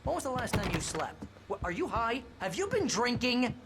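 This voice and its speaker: An actor playing a brash, exasperated literary agent with a pushy, wisecracking manner in a video game. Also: male voice, very high voice, big pitch swings, moderate pace, noisy background, heavily acted. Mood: incredulous. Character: brash, fussy, exasperated male literary agent, loyal friend.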